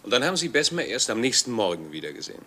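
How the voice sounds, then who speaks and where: An actor playing an eager police detective in a crime radio play, pressing with questions. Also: male voice, medium pitch, sing-song, rapid-fire, bright, forceful tone. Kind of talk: dramatic radio play acting.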